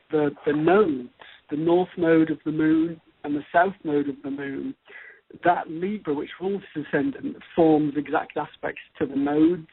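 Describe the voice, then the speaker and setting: A man with a fairly high, raspy voice gives astrology commentary as a co-host. He speaks haltingly and deliberately, building his points piece by piece. The sound is narrow, like a telephone line. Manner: halting, deliberate, repeats and pieces phrases together. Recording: tinny, phone-like radio-show audio.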